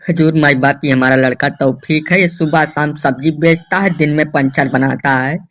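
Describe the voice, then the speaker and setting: A man's voice: on the high side, fairly even intonation, normal speed, fairly bright tone. A man playing an agitated, stubborn villager in a comedy cartoon, ranting emphatically with a rustic North Indian Hindi accent. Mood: aggrieved. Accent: North Indian rural Hindi.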